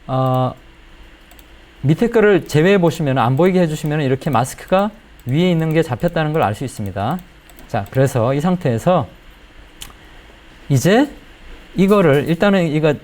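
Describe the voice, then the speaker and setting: Higher-pitched middle-aged man teaching software. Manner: explanatory, step by step, often checking with rhetorical questions. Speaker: video editing instructor. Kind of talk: spoken screen-recorded software tutorial.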